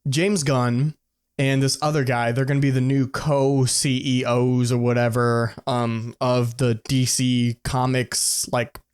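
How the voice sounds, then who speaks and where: Podcast cohost dude, middle pitch voice, slangy, loose offhand opinions, casual chat. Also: male voice, clear, resonant tone. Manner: informal, slangy and sweary, loosely hedged opinions. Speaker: podcast co-host.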